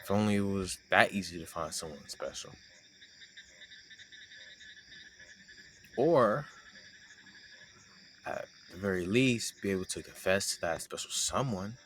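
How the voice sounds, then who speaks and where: Noticeable background noise sits under a very low young male voice, acted by a man. He is a shy, teasing friend with a secret crush, speaking haltingly and intimately in a romantic audio roleplay. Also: lively intonation, fairly bright tone. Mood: wistful, shy.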